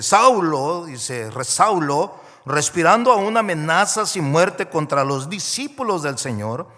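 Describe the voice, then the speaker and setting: A man from Mexico delivers a sermon in a somewhat high voice. He shifts from quiet, intimate storytelling to loud, climbing emphasis. The sound hangs in a large church hall with a long echo. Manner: swings from hushed storytelling to loud, rising preaching. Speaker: guest pastor.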